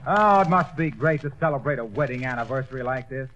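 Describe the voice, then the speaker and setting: A man playing the show's announcer in a 1940s radio comedy, smoothly slipping in a sales pitch. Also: animated, fast, a bit bright, dull audio, a little hiss or hum.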